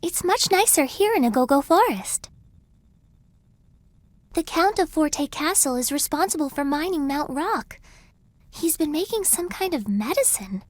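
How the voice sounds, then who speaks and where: Game role: courteous, caring young forest girl, high register with lively intonation, dry studio recording. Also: unhurried, dry recording. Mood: serious. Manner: courteous, well-spoken. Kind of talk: English video game dub acting.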